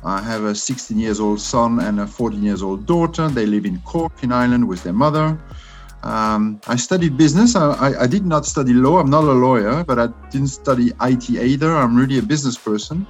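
A man's voice: medium pitch, soft background music. A man in his fifties with a French accent, a guest answering in a casual interview with lots of restarts and fillers. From France. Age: 50s. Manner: halting, many restarts and fillers. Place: his room at home.

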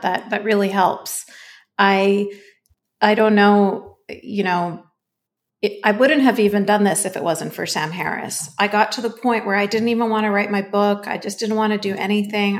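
A woman born and raised in Canada, a guest author in a podcast interview, telling her story in an earnest, grateful way. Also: medium pitch.

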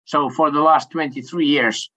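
A man's voice: on the high side, fairly even intonation, normal speed, sharp tone, dull audio. A middle-aged man from Finland whose first language is Swedish, answering interview questions in a hesitant way with many fillers and frequent laughs. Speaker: sales coach and company owner.

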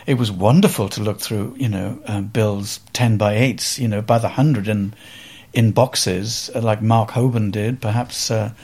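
A man around seventy with a Welsh accent, a photographer telling stories in an interview in a reflective, halting way with many pauses and fillers. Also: lowish voice, fairly even intonation, darkish tone, slightly rough. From Wales. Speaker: photographer guest.

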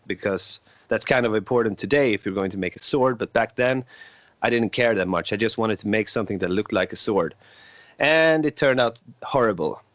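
A man's voice: lowish voice, a bit bright, no room sound. Hesitant Swedish-accented young man, dry sound. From Sweden. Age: 20s. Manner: hesitant, frequent filler sounds. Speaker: podcast host. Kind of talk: casual podcast chat.

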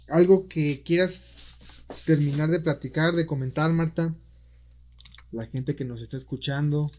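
A man hosting a podcast interview, asking questions in a friendly, conversational way. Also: medium pitch. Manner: friendly, conversational, wraps up warmly. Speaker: podcast host. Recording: dull lo-fi audio.

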